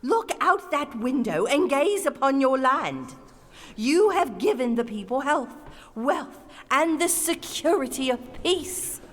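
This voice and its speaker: An actress playing a solemn, resolute veiled wise woman and queen in an audio drama, speaking in measured, formal oratory. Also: high-pitched, big pitch swings, heavily acted. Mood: solemn, reverent. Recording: long reverberant hall, music underneath.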